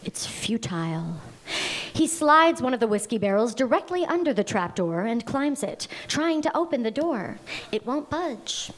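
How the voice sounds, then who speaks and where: A woman reading the stage directions at a live table read in a measured, deliberate way. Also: fairly high pitch, very animated.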